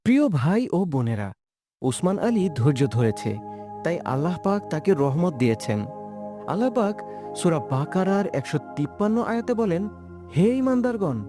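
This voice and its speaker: A man delivering a scripted Islamic exhortation as a religious narrator. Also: fairly high pitch. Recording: loud music over the voice.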